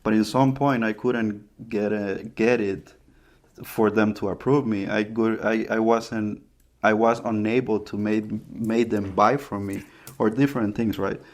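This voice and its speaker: A man's voice: on the low side, fairly even intonation, unhurried. A man from Honduras with a Honduran Spanish accent, whose first language is Spanish, co-hosting a podcast in casual chat, often stopping to restart phrases and search for words. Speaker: podcast co-host.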